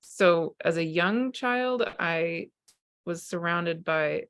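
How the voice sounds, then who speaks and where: A woman's voice: on the low side, expressive, takes it slowly, fairly bright tone, clean tone. A middle-aged woman hosting an interview, openly sharing her personal stories. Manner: open, sharing personal stories with light laughs. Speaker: interview host. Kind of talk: casual personal conversation.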